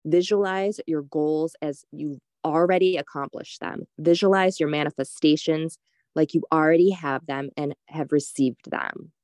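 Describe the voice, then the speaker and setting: A woman leading a guided meditation in a soothing, unhurried, gently instructive way. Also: deep voice, no room sound, studio quality.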